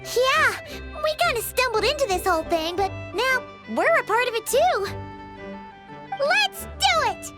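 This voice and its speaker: A woman voicing a small, naive, eager childlike fairy companion in a video game, squeaky and exclamatory. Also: very animated, a little slow, loud music behind the voice, exaggerated voice. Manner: speaks of herself in third person, exclamatory.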